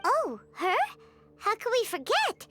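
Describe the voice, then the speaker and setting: A woman voicing a tiny, childlike, excitable fairy companion in a video game, squeaky and blunt in her exclamations. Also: slow pace, music in the background, exaggerated voice. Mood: curious, surprised. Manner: exclamatory, questioning, refers to self in third person.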